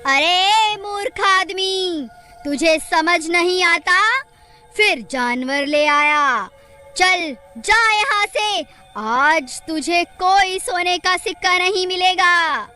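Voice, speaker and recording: A woman voicing a bloodthirsty female forest witch in a Hindi cartoon, with drawn-out, menacing, commanding speech. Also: very high-pitched, sharp tone, pitched above the performer's own voice, full character voice. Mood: scornful, angry. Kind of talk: Hindi cartoon horror acting.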